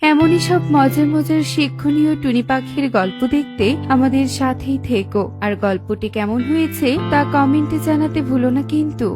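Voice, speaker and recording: A woman narrates a scripted children's story. Her voice is high, and music plays clearly behind her. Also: normal speed, few pauses.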